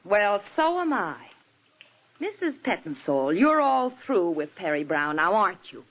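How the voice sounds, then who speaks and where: A woman's voice: medium pitch, very animated, a little slow. An actress playing a spirited, sardonic, outspoken working woman in a 1940s radio drama. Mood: fed up, biting. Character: spirited, wry, plain-spoken newspaper office woman. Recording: tinny, hissy old radio broadcast.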